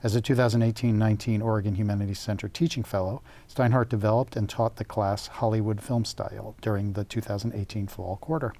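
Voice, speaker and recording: Male host, low-ish voice, fast brisk delivery. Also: fairly even intonation, noisy background. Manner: brisk, scripted wrap-up. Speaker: interview show host. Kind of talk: interview hosting.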